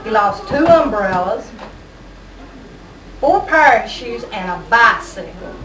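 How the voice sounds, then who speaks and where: High-voiced woman in a distant, echoey recording. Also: animated, slow pace, a crowd in the background. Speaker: amateur puppet show performer. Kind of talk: amateur comic skit monologue. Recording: distant noisy echoey home video.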